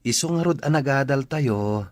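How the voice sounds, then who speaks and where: An actor with an Ilocano accent playing an earnest, grateful young son in a radio drama. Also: male voice, mid-range voice, expressive, normal speed, no room sound, lightly acted. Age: young adult.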